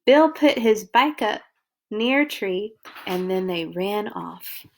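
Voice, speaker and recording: A woman storyteller reading a children's story aloud, expressively, with deliberate dramatic emphasis. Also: medium pitch, animated, creaky, a little hiss or hum.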